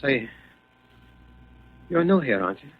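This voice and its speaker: A man's voice: medium pitch, sing-song, unhurried, a little hiss or hum. An actor playing a frightened, haunted businessman, stammering and pleading in a 1940s radio mystery. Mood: uneasy, puzzled. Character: panicky, haunted businessman, fearful for his life. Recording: muffled 1940s radio recording.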